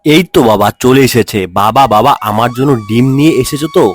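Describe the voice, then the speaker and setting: A man's voice: mid-range voice, lively intonation, fast talker, pitched below the performer's own voice, natural voice. A man voicing an eager, wishful little village boy in a cartoon story. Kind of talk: cartoon moral story dialogue. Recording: clear music behind the voice.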